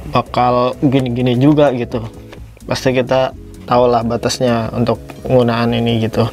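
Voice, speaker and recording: Casual man, fairly flat delivery. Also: medium pitch. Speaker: toy reviewer, video host. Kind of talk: casual unscripted product review.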